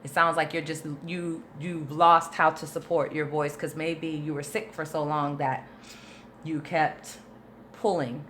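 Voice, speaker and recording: Low-voiced lady vocal coach doing a live q&a, super casual and chatty, explains stuff slowly step by step. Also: level tone, fairly bright tone, a little hiss or hum. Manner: chatty and informal, with many fillers; explains patiently, breaking things down step by step. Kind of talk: casual livestream Q&A, reading and answering viewer questions.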